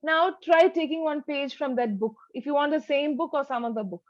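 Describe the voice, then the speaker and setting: A woman's voice: high voice, a little fast, no room sound, highs cut off. A woman teaching a one-to-one speaking lesson as a tutor, instructive and demonstrating sentences with exaggerated intonation.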